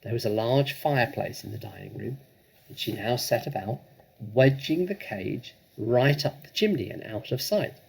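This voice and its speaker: Husky, creaky male storyteller. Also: medium pitch, moderate pace, low-quality recording. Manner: expressive, dramatic. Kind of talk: scripted storybook narration.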